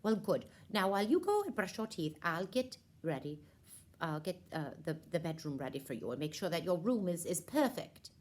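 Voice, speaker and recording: A woman plays a scatterbrained queen mother in a children's puppet show. She fusses regally and speaks with total self-assurance. Her pitch leaps about in a very animated way. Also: moderate pace. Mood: fussily kind.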